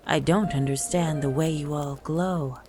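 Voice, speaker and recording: A woman's voice: sits low, fairly even intonation, measured pace, darkish tone, soft background music. A woman voicing a sad, humble, bewildered orphan boy in a rhymed storybook poem.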